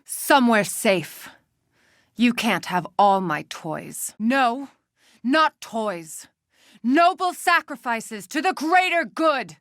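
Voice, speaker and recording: A woman's voice: on the high side, fairly bright tone, no room sound, exaggerated voice. A haughty, menacing female scientist from a video game. She speaks with precise, condescending control and cold self-righteousness. The sound is very dry, with no room around the voice. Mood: mocking, self-righteous. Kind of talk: video game voice acting, scripted dialogue and briefings.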